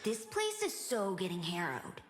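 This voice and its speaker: A woman voicing a gloomy, apathetic young female game mage who sulks like a teenager in a deadpan, sighing drawl. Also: mid-range voice, normal speed, big-room sound. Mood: gloomy, sulking. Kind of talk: video game character voice lines.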